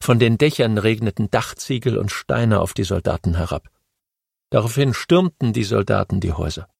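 Man reading a history audiobook, gravelly voice, dry close recording, steady pace. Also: lowish voice, no echo. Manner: measured, steady storytelling. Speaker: audiobook narrator. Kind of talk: scripted history narration.

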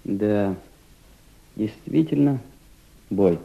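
Husky, earnest young veteran. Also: male voice, fairly low pitch, expressive, dull audio, exaggerated voice. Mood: doubting. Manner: earnest, questioning, halting. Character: earnest, doubting twenty-five-year-old lame Red Army veteran. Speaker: actor in an audio play. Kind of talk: Soviet-style radio drama acting.

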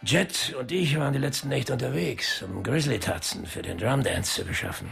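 An actor playing an easygoing, worn stoner uncle with a slangy, gossipy manner in a radio drama. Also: male voice, medium pitch, normal speed, gravelly, a bit bright. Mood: laid-back. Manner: laid-back, slangy. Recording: faint music behind the voice.

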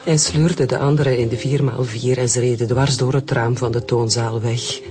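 A woman's voice: very deep voice, fairly even intonation, moderate pace. A woman playing a shaken, grieving new widow in a radio drama, with a Flemish accent. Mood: numb, shaken. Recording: music behind the voice.

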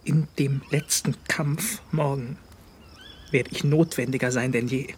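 A man's voice: medium pitch, fairly even intonation, unhurried, a little hiss or hum, natural voice. An actor playing a loyal, dutiful male aide who speaks earnestly and respectfully in a radio drama.

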